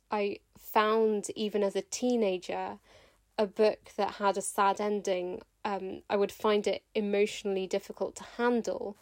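A woman's voice: mid-range voice, darkish tone, dry recording. A British woman speaking as a podcast guest, reflecting in a thoughtful, articulate way. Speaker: author and actor, podcast guest. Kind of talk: reflective spoken commentary.

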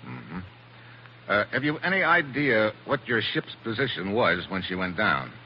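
American man, low lively voice, cool flirtatious radio-drama agent, muffled vintage recording. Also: unhurried, a little hiss or hum. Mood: businesslike, dry. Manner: casual, wry, clipped. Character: cool, wry, flirtatious male American secret agent posing as reporter. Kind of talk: 1940s radio acting.

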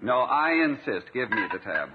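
A man's voice: medium pitch, very animated, normal speed, close to an everyday voice. An actor playing a chummy, self-assured, presumptuous man in a 1950s radio comedy, polite and glib. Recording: tinny 1950s radio recording, faint hiss.

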